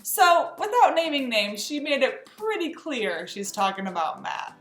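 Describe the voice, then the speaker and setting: A woman hosts an entertainment news recap in a high, very lively voice. Her scripted delivery is chatty and emphatic. The sound is roomy, with music underneath. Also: unhurried. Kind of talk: scripted gossip recap narration.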